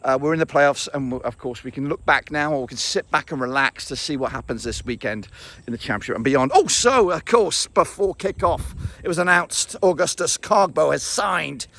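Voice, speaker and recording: A man losing his voice, giving an excitable, rambling reaction to a football match with many fillers and restarts. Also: on the high side, moderate pace. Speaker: football fan vlogger. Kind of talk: casual match reaction to camera.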